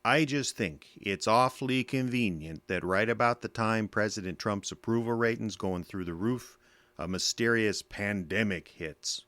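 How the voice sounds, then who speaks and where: An actor with an American accent playing a bitter, defensive middle-aged army veteran in an audio drama, gruff, sardonic and curt. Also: male voice, lowish voice, normal speed, a bit bright, no echo, close to an everyday voice. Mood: bitter, argumentative.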